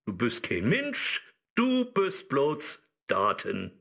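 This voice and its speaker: A man plays a tempting digital devil for a satirical radio play. His Low German verse swings wildly up and down, taunting and mocking, in a forceful, unhurried voice. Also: huge pitch swings, gravelly, exaggerated voice. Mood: scornful. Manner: theatrical, taunting, rhyming speech.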